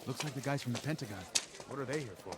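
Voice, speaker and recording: An actor playing a brash, urgent press reporter in a radio drama, clipped and informal. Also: male voice, mid-range voice, very fast, a little hiss or hum, close to an everyday voice. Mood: urgent, curious. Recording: loud crowd, voice off mic.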